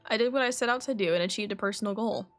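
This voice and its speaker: A woman voicing a composed, scholarly young male alchemist from a video game, reading his dialogue in a measured, formal, reflective way. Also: medium pitch, soft background music, close to an everyday voice.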